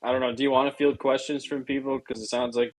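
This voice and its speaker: A man's voice: mid-range voice, fast talker, crowd noise. Easygoing male host with a mid voice. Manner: relaxed, joking, hesitant with fillers. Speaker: podcast host. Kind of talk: hosting a casual voice space.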